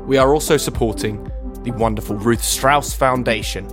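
A man's voice: medium pitch, moderate pace, music in the background. A man with a British accent, speaking as the host and interviewer of a podcast. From United Kingdom. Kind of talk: podcast introduction and interview chat.

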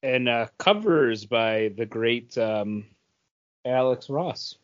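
A man of average pitch chats casually as a podcast co-host. His intonation swings in a lively way. The sound is a bit dull and lo-fi. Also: takes it slowly. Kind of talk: casual chat.

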